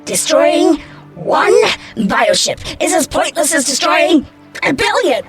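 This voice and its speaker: Bitter, expressive alien engineer played by a woman. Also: sits high, slow pace, faint music behind, full character voice. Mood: bitter, agitated. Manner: sardonic, despairing. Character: bitter, world-weary female alien hybrid engineer, fatalistic rebel. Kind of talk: dramatic audio story acting.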